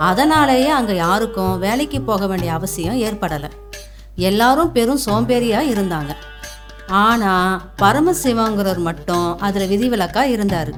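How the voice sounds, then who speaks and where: A woman storyteller delivering scripted narration for a folktale. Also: medium pitch.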